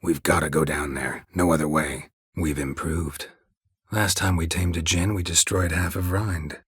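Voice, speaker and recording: Gruff american monster hunter for a game, super raspy, dry sardonic deadpan, close dry studio sound. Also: male voice, measured pace, no echo, exaggerated voice. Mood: terse, weary. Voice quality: husky, gravelly low voice. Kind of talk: video game voice acting.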